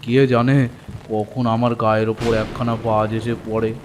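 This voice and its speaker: A man voicing an older village moneylender in a cartoon story, familiar, brisk and taking the lead. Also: medium pitch, level tone, a little hiss or hum, close to an everyday voice. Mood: companionable, brisk. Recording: rain and thunder behind voice.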